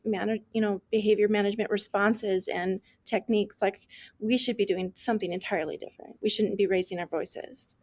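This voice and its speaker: A woman's voice: mid-range voice, darkish tone. A woman guest expert on an interview podcast, explaining earnestly with frequent restarts. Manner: earnest, restarts often, many "like" and "um". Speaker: guest expert on deaf education. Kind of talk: conversational interview.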